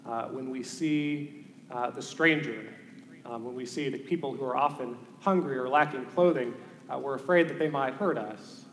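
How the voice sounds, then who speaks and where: A man's voice: medium pitch, normal speed. A man in his thirties with a Southern American accent, a priest giving an earnest sermon, hesitant, with many ums. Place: large church sanctuary. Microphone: far camera. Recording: echoey church from far off.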